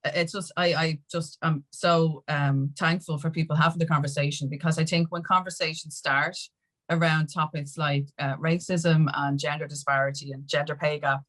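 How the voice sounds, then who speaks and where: A woman with an Irish accent speaks in a deep register for a female voice. She talks conversationally as a podcast guest, circling through her points in a halting, rambling fashion. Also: fairly bright tone. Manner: rambling, halting, with many "you know"s. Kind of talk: conversational interview.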